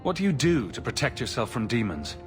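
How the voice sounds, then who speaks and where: A man playing a calm, inquisitive adventurer hero in a video game, speaking in a reassuring way. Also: mid-range voice, a little fast, soft background music, lightly acted. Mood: reassuring, concerned.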